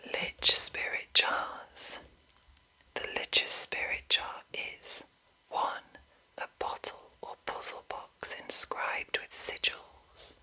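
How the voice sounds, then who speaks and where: A woman whispers in a slow, deliberate ASMR reading. Her voice is all breath with hardly any voiced tone, and the whisper has a crisp, bright edge. She enunciates carefully, as a host presenting fantasy lore. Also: rough recording.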